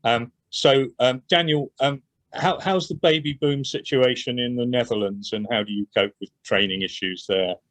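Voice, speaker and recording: A man moderating a panel discussion, measured, with frequent fillers. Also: mid-range voice, fairly bright tone, no echo.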